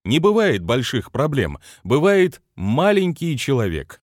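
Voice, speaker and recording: A man's voice: medium pitch, wildly swooping pitch, a little slow, no echo, clean studio sound. A man, a business trainer, reading his own motivational book aloud with non-native pronunciation and an earnest manner.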